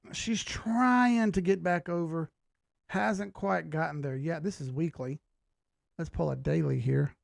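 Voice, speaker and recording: Guy livestreamer talking off the cuff, bit high pitched but croaky, super slangy, dry sound no room. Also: dry recording. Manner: informal, slangy, thinking aloud. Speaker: host of a live market analysis stream. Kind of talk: casual live chart commentary.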